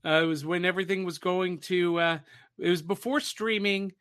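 Bright, high-pitched male podcast host. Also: steady tone, normal speed, smooth. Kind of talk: casual nostalgic pop-culture chat.

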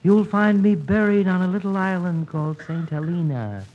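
An actor playing a genteel, serenely deluded professor in 1940s radio comedy, polite and earnest. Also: male voice, high voice, normal speed. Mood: dreamy, courteous. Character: genteel, dotty male professor who thinks himself Napoleon. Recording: muffled vintage radio recording.

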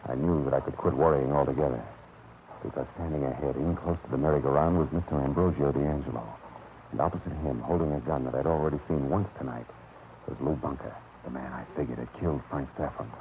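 An actor playing a hard-boiled private detective with wry, clipped tough-guy narration in a 1940s radio drama. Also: rumbling low. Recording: noisy, dull old radio recording.

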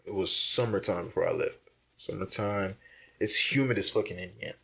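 A man's voice: sits low, a little fast, gravelly, fairly bright tone, slightly rough. Laid-back young man, joking and slangy. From Nigeria. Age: young adult. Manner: slangy, laughs often, loose and joking. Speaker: podcast co-host, college student. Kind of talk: casual chat.

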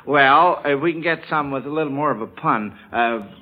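A man's voice: fairly high pitch, brisk. A man hosting a 1940s-style radio quiz for children in a folksy, chuckling manner, often repeating answers back. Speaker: quiz show host. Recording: dull, band-limited old radio recording.

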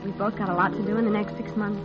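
An actress playing a witty nightclub singer in love in a vintage radio romance, wry, tender and composed. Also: female voice, mid-range voice, level tone, fast talker, natural voice. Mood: tender, resolute. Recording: dull old radio recording, music behind.

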